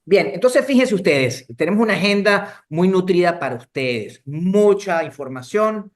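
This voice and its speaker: A man's voice: sits high, expressive, normal speed. A man presenting a webinar conversationally as an expert, repeating phrases to frame his points.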